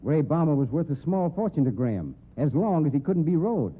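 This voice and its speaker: A man's voice: a little high, sing-song, a little fast, husky. A man playing a tough, plain-spoken rodeo cowboy sleuth with a folksy American drawl, in old-time radio drama style. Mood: shrewd, accusing. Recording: muffled lo-fi old radio recording.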